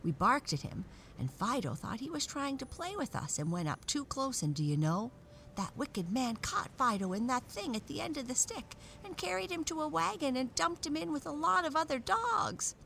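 A woman voicing a large, friendly male dog in a children's storybook, earnest and excitable as he talks. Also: high voice, normal speed, vocal fry, slight background noise, voice pushed up, exaggerated voice. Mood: upset, excitable.

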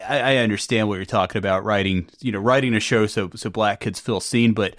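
Man, podcast host, lowish voice, hesitant, thoughtful, dry sound. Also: a little fast, fairly bright tone, dry recording. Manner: thoughtful, hesitant, restarts phrases. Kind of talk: interviewer asking questions.

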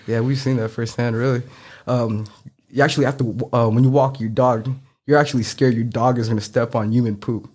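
Easygoing male guest, thirties, from San Francisco, average pitch. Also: steady tone, a little fast, darkish tone. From San Francisco, United States. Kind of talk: casual podcast chat.

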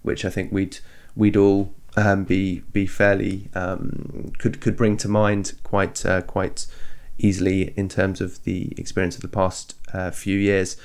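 Hoarse-voiced Englishman, low pitch. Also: little pitch movement, a little slow. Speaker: podcast co-host. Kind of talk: casual political discussion.

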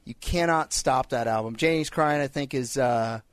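A man's voice: medium pitch, normal speed. Texan man talking fantasy football. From Texas. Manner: enthusiastic, recalls stats in detail. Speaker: radio co-host. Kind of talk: sports radio chat.

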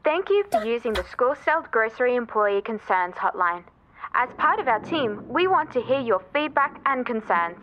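Dull phone-line sound, corporate hotline operator, highish lively pitch, formulaic, falsely cheerful, audio drama. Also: female voice, moderate pace, slight background noise. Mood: automated cheer. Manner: formulaic, upbeat, impersonal. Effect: telephone line filter. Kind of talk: scripted customer-service recording in audio drama.

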